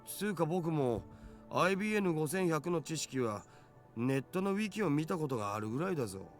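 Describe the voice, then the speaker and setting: A man's voice: fairly high pitch, expressive, racing, lightly acted. An actor playing a geeky young male otaku in a visual novel, casually explaining things in slang. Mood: geeky, explanatory. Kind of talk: Japanese visual novel voice acting. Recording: game dialogue with music behind.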